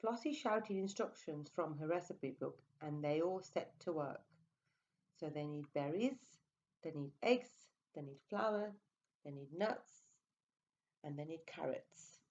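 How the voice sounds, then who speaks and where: A woman reading a picture book aloud to young children, expressive and coaxing, with little questioning asides. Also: low-pitched, slow pace, dull audio. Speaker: storyteller for preschool children.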